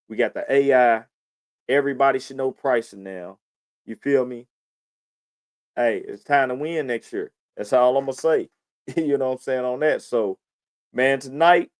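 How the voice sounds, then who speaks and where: A man's voice: mid-range voice, no room sound, clean studio sound. A man hosting a podcast in casual, slangy talk, often addressing the listener as "man". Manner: informal slangy talk, frequent "man" and "you know what I'm saying". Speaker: podcast host.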